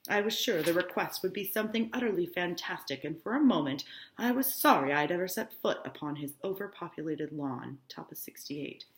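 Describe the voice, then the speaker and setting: Low-voiced woman in an echoey room. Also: expressive, fairly quick. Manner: explanatory, breaks off to paraphrase in plain words. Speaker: high school English teacher. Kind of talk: reading a novel aloud with explanatory asides.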